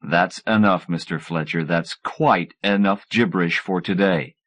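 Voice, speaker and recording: Pompous male professor, voiced by a male narrator: cold, dismissive, sarcastic, lowish voice, audiobook dialogue. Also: a little slow, a bit bright. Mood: scornful.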